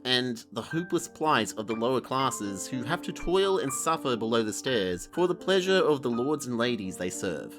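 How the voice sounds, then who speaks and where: Australian man, animated intonation, wry and blunt, colloquial review narration, music underneath. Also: medium pitch. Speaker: video essay reviewer. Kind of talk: scripted review narration with informal asides. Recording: music behind the voice. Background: background music.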